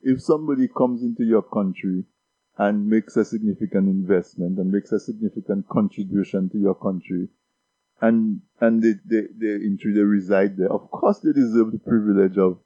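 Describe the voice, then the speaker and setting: A man's voice: lowish voice, steady tone, moderate pace, dark tone, no echo. Man from Dominica, casual talk guest, stammering repeats, dry lo-fi recording. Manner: repeats words while building points. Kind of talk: casual discussion. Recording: dull lo-fi sound.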